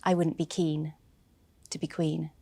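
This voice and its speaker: A woman's voice: low-pitched, level tone, a little slow, gravelly, dark tone, slightly rough, dry recording. A woman who grew up between England and Trinidad, performing rhyming spoken-word poetry in a playful, rhythmic way.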